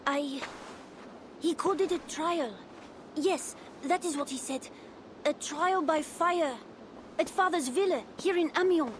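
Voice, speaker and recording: A woman's voice: high voice, unhurried, long pauses, a little hiss or hum. A woman voicing an earnest, frightened young boy prince in a video game.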